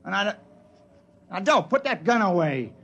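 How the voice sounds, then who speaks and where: High, husky, jittery spaceman. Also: big pitch swings, moderate pace, a bit bright, lo-fi sound, heavily acted. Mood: nervous, incredulous. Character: jittery, scornful male spaceman crewmate. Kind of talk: 1950s radio drama acting.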